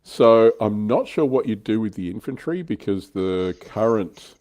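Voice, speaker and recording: A man with a very low voice talks casually as a livestream co-host. He thinks aloud, hesitating and hedging as he speculates about his hobby. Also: lively intonation, measured pace, darkish tone.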